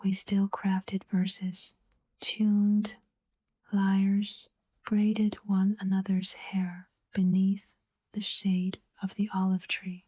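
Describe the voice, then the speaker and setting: A woman whispering a first-person literary narration in a hushed, solemn, ASMR-like way, with deliberate pauses, as a tender, wistful poet. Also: medium pitch, monotone, slightly rough. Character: female ancient Greek poet telling of forbidden love, tender, wistful.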